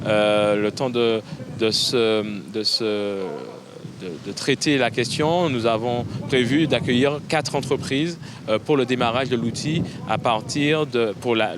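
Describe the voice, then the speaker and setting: A man, a project official, explaining in an interview, halting and searching for words. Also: mid-range voice. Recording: loud outdoor traffic noise.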